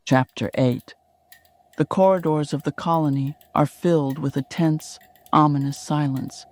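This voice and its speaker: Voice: mid-range voice, steady tone, measured pace, no room sound. A narrator reading a scripted sci-fi horror audio drama. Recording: clear background noise.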